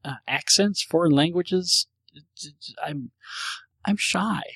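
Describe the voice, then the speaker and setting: A man's voice: a little high, takes it slowly, fairly bright tone, husky, dry recording, studio quality. A man hosting a casual solo show, answering listener questions in a rambling, self-deprecating way with frequent restarts.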